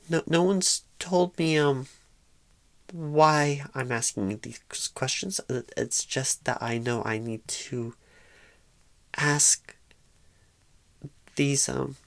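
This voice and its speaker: Casting: woman to play a bashful, anxious new female recruiter for ASMR roleplay, low-pitched, halting and apologetic, recorded close and dry. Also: fairly even intonation, dry recording, exaggerated voice. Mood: unsure, hesitant. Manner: stammering, halting, hesitant, apologetic and awkward. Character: shy, nervous, bashful rookie female job recruiter.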